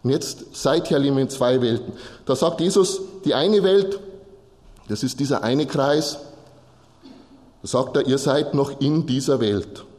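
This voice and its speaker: A man's voice: medium pitch, measured pace, darkish tone. A man preaching, explaining scripture in short, repeated phrases and addressing listeners directly. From Bavaria, Germany. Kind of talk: sermon, teaching from Bible texts to a congregation. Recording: long hall reverb.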